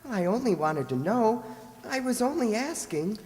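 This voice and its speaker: With very lively intonation in a reverberant hall, a woman plays a little frontier boy. He is eager, naive and full of questions, with folksy grammar, in a radio drama. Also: a little high, moderate pace. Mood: curious. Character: curious, naive frontier little boy, boastful younger brother. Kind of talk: radio play acting.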